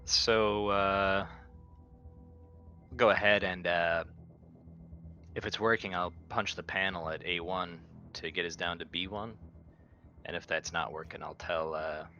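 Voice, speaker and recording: A man playing a tabletop game, casually and haltingly stating his moves over a lo-fi mic. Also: deep voice, steady tone, bright, forceful tone. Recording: dull lo-fi mic, faint noise.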